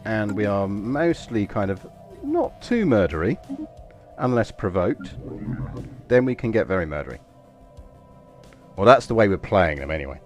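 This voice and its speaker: A deep-voiced English man talks his viewers through a strategy game in a loose, wandering way, poking fun at himself. Faint music plays underneath. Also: big pitch swings, normal speed, pauses often. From England. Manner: rambling, chatty, self-deprecating. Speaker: game streamer. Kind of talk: casual live gameplay commentary.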